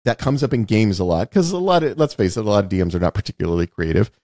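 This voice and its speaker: A man's voice: fairly low pitch, animated, fast, warm tone, no room sound, clean studio sound. A man co-hosting a casual film discussion, explaining his points emphatically and often rephrasing them. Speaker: podcast co-host discussing films.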